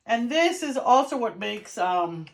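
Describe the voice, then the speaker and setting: A woman's voice: fairly high pitch, big pitch swings, measured pace, gravelly. A woman chatting casually as she demonstrates a home cooking recipe, laughing now and then. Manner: chatty, laughs while explaining. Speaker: home cook.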